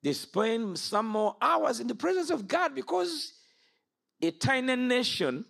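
A man's voice: on the high side, creaky, breathy edge. A man in his fifties from Uganda preaching an impassioned sermon. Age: 50s. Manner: impassioned, rises and falls sharply.

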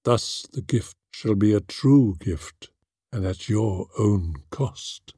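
Man acting a noble mother, stern, cool, restrained, slow, audiobook character. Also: on the low side, dark tone, husky, no room sound, studio quality. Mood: cool, firm.

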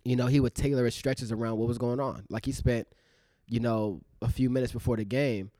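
A man's voice: mid-range voice, fairly even intonation, a little fast, warm tone, dry recording. A man in his thirties, an actor co-hosting a podcast, talking in a loose, rambling way with many fillers. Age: 30s. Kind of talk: casual reflective chat.

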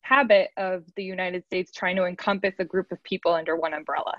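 A woman speaking as a panel guest, measured and reflective, hedging her points. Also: lowish voice, fairly even intonation, dry recording. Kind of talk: thoughtful discussion. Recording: dull, dry sound.

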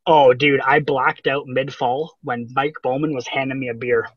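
A man's voice: medium pitch, steady tone, moderate pace, a crowd in the background. A man in his early twenties chatting casually as a podcast guest, slangy and bantering, on slightly dull, lo-fi audio. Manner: slangy, bantering, calls people bud. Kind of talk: casual chat.

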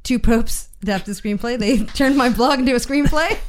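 A woman co-hosting a film podcast in casual chat, playful and quick to laugh. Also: fairly high pitch, a little hiss or hum.

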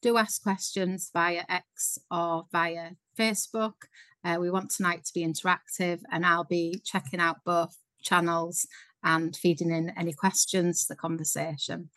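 A woman co-hosting an online panel talk, giving clear, instructive announcements. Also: on the low side, little pitch movement, a little slow, a bit bright, dry recording. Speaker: co-host.

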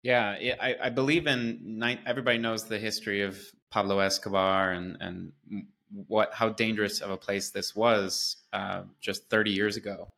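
Man, from the United States, low voice, flat intonation, earnest, enthusiastic, interview guest. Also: normal speed, gravelly, few pauses. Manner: earnest and enthusiastic, with repeated restarts. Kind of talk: conversational interview.

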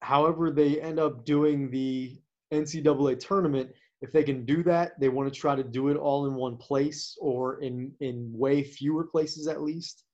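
A man who coaches, talking casually about sports as a podcast co-host, with a naturally quiet voice and a halting way of talking, full of restarts and fillers. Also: medium pitch, steady tone. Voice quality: naturally quiet voice that does not carry. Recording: dull lo-fi sound.

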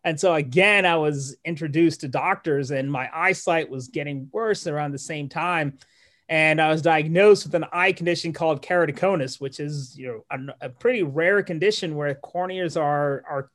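A man in his forties from Malawi, a neurologist guest telling his life story in a relaxed interview, pausing and restarting as he thinks. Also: on the high side. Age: 40s. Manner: thoughtful, with frequent restarts and light laughs.

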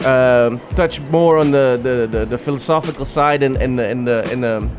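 A man who grew up in the Netherlands, talking as a guest filmmaker in a radio studio, thoughtful and halting with many restarts. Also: medium pitch, soft background music. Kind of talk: radio interview. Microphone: studio microphone.

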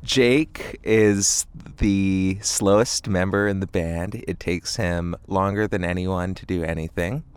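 Very low-voiced man, casual and halting. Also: fairly even intonation, clear, resonant tone, no room sound. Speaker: band singer being interviewed. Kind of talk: casual interview chat. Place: inside a parked tour van.

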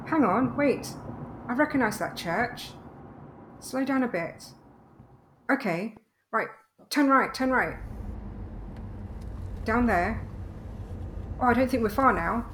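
A woman's voice: a little high, animated, slow, pauses often, fairly bright tone. Practical wife played by a woman, noisy background. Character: practical, teasing, reassuring wife moving to the countryside. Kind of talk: naturalistic audio drama dialogue. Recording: clearly noisy background ambience.

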